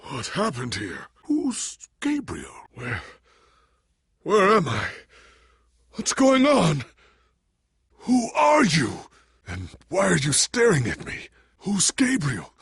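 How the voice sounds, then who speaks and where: A humbled, bewildered old-school warrior from a video game. His voice is very raspy and gravelly, delivered slowly in a dry recording. He speaks formally and earnestly, with dramatic weight. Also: high-pitched, huge pitch swings, fairly bright tone, no room sound, full character voice. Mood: confused, alarmed. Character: weary, confused, humbled male warrior hero.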